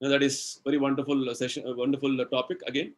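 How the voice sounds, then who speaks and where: A man with an Indian English accent explaining spiritual ideas in a devotional lecture, slow and explanatory, using everyday examples and many "you know"s. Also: medium pitch, flat delivery. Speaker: spiritual speaker answering questions.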